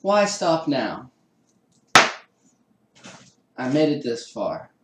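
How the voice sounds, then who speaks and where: A man's voice: medium pitch, very animated, very slow, roomy sound, slight background noise. A man chatting casually with live-stream viewers while assembling a kit, laughing often and poking fun at himself. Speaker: livestreamer building a 3D printer kit. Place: home room with a garage downstairs.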